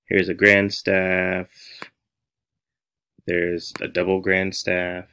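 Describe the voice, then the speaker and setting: A man's voice: deep voice, drawn-out words, clean tone. A man in his thirties with an American accent, giving a casual, playful tutorial as he thinks aloud. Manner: animated, playful, talks to himself. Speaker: music teacher and tutorial host.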